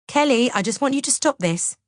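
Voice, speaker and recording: A woman voicing a frightened, exasperated woman who pleads urgently and strains to stay calm in an audiobook. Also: a little high, moderate pace, dry recording, clean studio sound. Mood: frightened, pleading. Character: frightened, exasperated woman, protesting her innocence.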